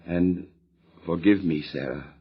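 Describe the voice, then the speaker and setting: An actor playing a solemn, earnest Hebrew patriarch and husband in a Bible audio drama, speaking in a grave, pious, measured way. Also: male voice, low voice, breathy edge, full character voice. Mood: remorseful, devout. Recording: dull lo-fi radio drama recording.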